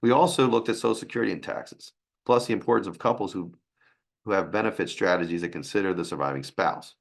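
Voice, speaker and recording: A man with a raspy, hoarse voice from a sinus infection, presenting a financial webinar in a halting way. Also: low voice. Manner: halting, with restarts and fillers.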